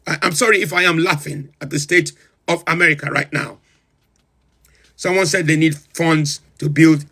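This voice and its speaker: A man's voice: high voice, sharp tone, breathy edge, heavily acted. A man playing a pompous self-styled president of a comic republic, who hosts a livestream and reels off long lists in theatrical disbelief. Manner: incredulous, theatrical, lists items with rising emphasis. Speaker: livestream host. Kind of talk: comedic in-character livestream rant.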